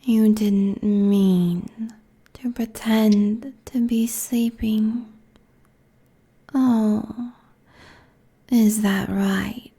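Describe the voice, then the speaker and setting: A woman performs an ancient succubus queen for an ASMR audio roleplay. She whispers in a dark, breathy voice, drawing each phrase out very slowly. Her manner is seductive, haughty and cruel, with menace held low and even, close to a binaural mic. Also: exaggerated voice. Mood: taunting, seductive. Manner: slow, deliberate, taunting, haughty. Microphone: close binaural microphone.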